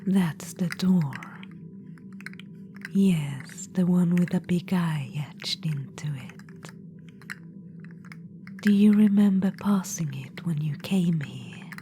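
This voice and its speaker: A woman's voice: fairly low pitch, slow, long pauses, slight background noise. A woman whispering as a haughty witch-queen in an orb. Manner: soft whispering, regal and condescending. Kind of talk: ASMR audio roleplay.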